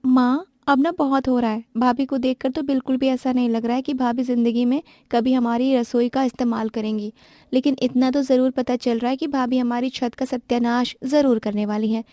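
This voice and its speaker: Woman voicing nagging girl, high voice, very dry sound. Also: fairly even intonation, moderate pace, dark tone, no echo, lightly acted. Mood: complaining. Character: complaining young sister-in-law.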